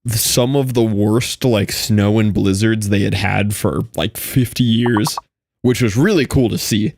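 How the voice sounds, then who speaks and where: A man's voice: fairly low pitch, a little mellow, slight background noise. A young man casually chatting with his live-stream audience while playing, reading out and answering chat messages.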